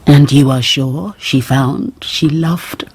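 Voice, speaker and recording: An actress in a radio drama playing an anguished middle-aged noblewoman and mother, formal and careful, with a husky, breathy voice. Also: very deep voice, steady tone, slow pace, a little mellow, a little hiss or hum, heavily acted. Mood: searching, uneasy.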